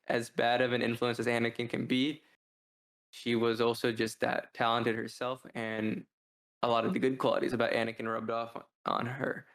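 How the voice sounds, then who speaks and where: A man's voice: mid-range voice, fairly bright tone. A man in a casual review discussion, thinking aloud as a co-host and often checking in with "like" and "right". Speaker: podcast co-host.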